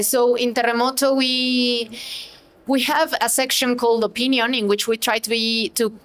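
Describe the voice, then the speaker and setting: A woman's voice: fairly high pitch, a bit bright, clear, resonant tone. A woman moderating a panel discussion, speaking hesitantly with many fillers. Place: event hall panel.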